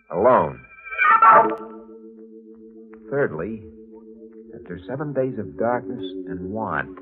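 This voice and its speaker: A man's voice: deep voice, heavy background noise. A man acting an obsessed, murderous narrator in a 1940s radio thriller, intense and dramatic. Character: obsessed murderous man narrating his crimes, fanatical and menacing. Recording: muffled 1940s radio recording with loud music.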